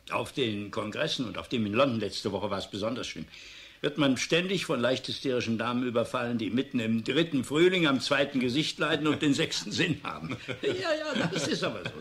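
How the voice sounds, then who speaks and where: Weary professor, animated intonation. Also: male voice, medium pitch. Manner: musing, sardonic, halting and emphatic by turns. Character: disillusioned, weary professor of parapsychology. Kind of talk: German radio drama acting.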